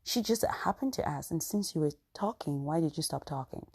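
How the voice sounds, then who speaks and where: A woman's voice: low-pitched, expressive, brisk, darkish tone, husky. A woman with a Cameroonian accent telling a personal story to her viewers in an earnest, chatty way. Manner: earnest, conversational, frequent "you know" asides. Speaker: vlogger.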